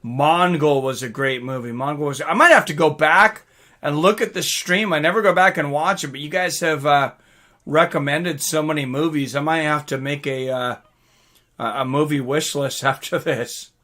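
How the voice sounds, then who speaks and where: Man, livestream host, higher-pitched, bright forceful voice, casual, excitable, informal, reacting to chat. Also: moderate pace.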